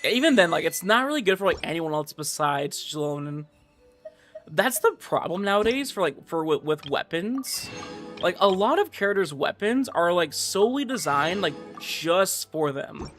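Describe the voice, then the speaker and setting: A man's voice: high voice, sing-song, normal speed, fairly bright tone, soft background music. A man livestreaming a game, chatting casually and giving commentary in a slangy, informal way full of filler words. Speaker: livestreamer playing a gacha game.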